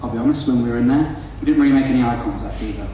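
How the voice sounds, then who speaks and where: A man's voice: mid-range voice, racing, warm tone, heavy reverb, far from the mic, a little hiss or hum, low-quality recording. A young Welsh-born man whose first language is Welsh, telling a comic story to an audience in a chatty, self-deprecating way and calling his listeners folks. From Wales. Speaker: storyteller on stage.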